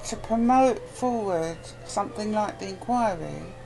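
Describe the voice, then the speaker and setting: A woman's voice: mid-range voice, a little slow, gravelly, warm tone, faint music behind, poor audio. A woman in a casual debate, arguing her points insistently. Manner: insistent, argumentative. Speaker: interviewer in conversation. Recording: faint noise on amateur chat recording.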